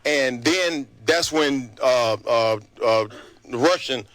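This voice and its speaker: A man's voice: mid-range voice, slow pace, fairly bright tone. A man in his late forties, a guest telling stories in a casual radio interview, rambling and often asking "you know what I mean". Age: 40s. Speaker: guest wrestler.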